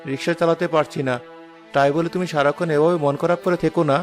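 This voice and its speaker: Man acting a fast-talking humble rickshaw puller. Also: on the high side, fairly even intonation, faint music behind, lightly acted. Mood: concerned. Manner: earnest, humble, caring. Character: poor, ailing, caring rickshaw-puller husband and father. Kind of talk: cartoon drama acting.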